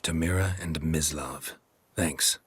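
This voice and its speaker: A middle-aged fantasy game monster hunter with an extremely deep voice. It is heavily rasped and husky, delivered in terse, deadpan phrases. He comes across as stoic, gruff and dryly witty. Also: takes it slowly, no room sound, full character voice. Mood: dry, unhurried. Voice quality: gravelly, husky low voice.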